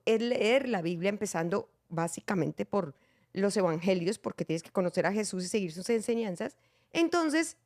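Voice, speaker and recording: A woman's voice: mid-range voice, a little mellow. Earnest woman explaining Bible teaching. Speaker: host.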